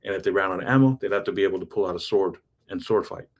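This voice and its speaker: Husky, earnest man rambling about goals. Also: deep voice, very fast. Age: 30s. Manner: earnest, rambling, frequent restarts and laughs. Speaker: self-improvement vlogger. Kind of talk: casual motivational monologue.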